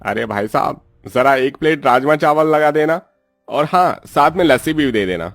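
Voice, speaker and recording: A man's voice: on the high side, expressive, normal speed, creaky, dry recording, soft background music. Grumbling cartoon customer, dry sound acted by a man. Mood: casual ordering. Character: fussy, complaining adult male diner customer. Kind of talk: scripted cartoon dialogue.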